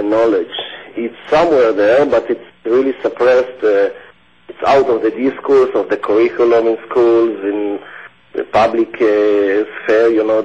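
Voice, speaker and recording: A man, answering radio interview questions in a thoughtful, halting way. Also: medium pitch, darkish tone. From Israel. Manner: thoughtful, halting, searching for words. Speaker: guest. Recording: dull lo-fi radio sound.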